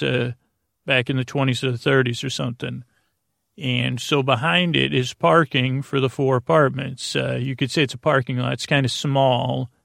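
Creaky-voiced man rambling casually. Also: medium pitch, fairly bright tone, no echo, studio quality. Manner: meandering and halting, trails off into tangents. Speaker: podcast host. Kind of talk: rambling, casual bedtime monologue.

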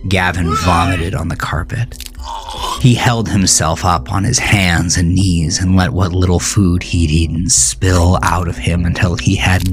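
A man's voice: sits low, creaky. A man narrating a scripted horror story as a dramatic reading. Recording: music bed behind narration.

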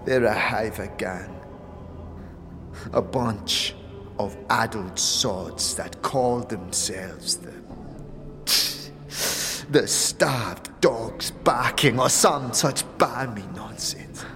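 Woman acting bitter grieving street woman, slow delivery, scornful slang, fantasy audio drama, clear music behind. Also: very deep voice, heavily acted. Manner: slangy, scornful, ungrammatical speech.

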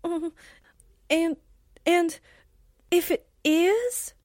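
Woman doing a gullible flustered gal from a 40s radio show, really high voice, takes her time, dry recording. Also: extremely slow, darkish tone, no room sound, exaggerated voice. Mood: dismayed, plaintive. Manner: wide-eyed, hesitant. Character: gullible, naive, flustered young newspaperwoman sidekick. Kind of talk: 1940s radio acting.

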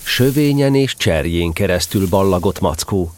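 A man narrates a children's tale as an expressive storyteller. His voice is on the low side and moves through a wide, animated melody as he reads the scripted prose.

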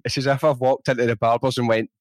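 A man's voice: medium pitch, flat delivery, fast, dry recording. Scottish man, podcast host, mid-range voice, casual chat, jokey, self-mocking. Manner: chatty, jokey, self-deprecating.

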